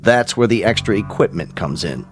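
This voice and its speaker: An American actor playing a grave, paternal space-program scientist in a radio drama, speaking formally, deliberately and with emphasis. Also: male voice, lowish voice, lively intonation, close to an everyday voice. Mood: solemn, emphatic. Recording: music behind the voice.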